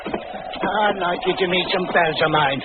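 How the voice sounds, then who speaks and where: An actor playing a friendly, polite, plain-spoken carnival performer in 1940s radio drama style. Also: male voice, high-pitched, measured pace. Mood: affable. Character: affable, courteous male carnival performer making introductions. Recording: tinny, noisy old radio recording.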